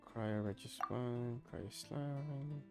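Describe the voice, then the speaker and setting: A man streaming a game, giving low-key muttered commentary. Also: extremely slow, gravelly. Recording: loud game music behind voice. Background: game music with plucked guitar.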